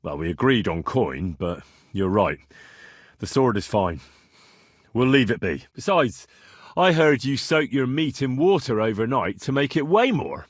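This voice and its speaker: A man's voice: mid-range voice, very animated, measured pace, husky, no room sound, studio quality. A male actor playing a cheeky, blunt young swordsman who haggles cockily in a medieval video game. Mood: cocky bargaining. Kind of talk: video game character dialogue.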